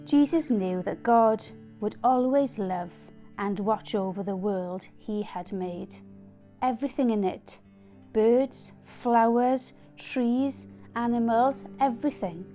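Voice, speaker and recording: Female reader for kids' Bible tale, warm dark timbre, unhurried, faint music behind. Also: on the high side. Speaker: story reader. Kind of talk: children's Bible storytelling.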